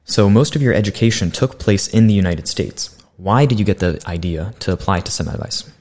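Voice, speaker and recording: A man's voice: low-pitched, a little fast, a little mellow. A young man hosting a student podcast, politely reading prepared questions into a studio condenser mic. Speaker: podcast host. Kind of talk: scripted interview hosting. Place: small bright studio room. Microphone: studio condenser mic on boom arm.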